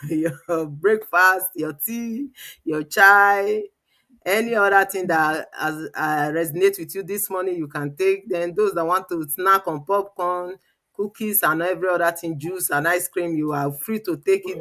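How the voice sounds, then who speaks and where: Bright-voiced woman hosting a live show. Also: on the low side. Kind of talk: informal live webinar hosting.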